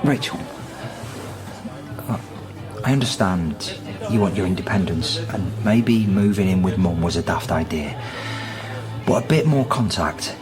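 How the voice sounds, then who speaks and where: An actor playing a disapproving, dutiful brother who reasons and lectures in naturalistic radio drama dialogue. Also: male voice, low-pitched, animated, unhurried, long pauses, music in the background. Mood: reproachful.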